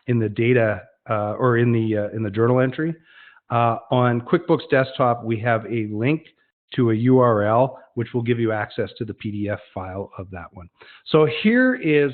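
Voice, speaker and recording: A man with a medium-pitched voice presents an informal sales demo online. He explains things in a conversational way and puts emphasis on key phrases. The sound is narrow and muffled, much like a phone line. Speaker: product presenter. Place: online web demonstration call.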